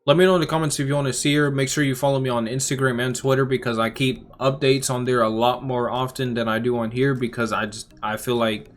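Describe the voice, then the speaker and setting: A man giving casual game reaction commentary as a YouTuber, talking informally and rambling as he thinks out loud. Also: mid-range voice, little pitch movement, fast, few pauses. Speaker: gaming YouTuber. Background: music.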